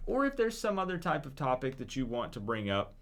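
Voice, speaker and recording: A man's voice: medium pitch, wildly swooping pitch, brisk. A man hosting a casual live call-in stream, speaking informally and encouragingly straight to his viewers. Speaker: livestream host.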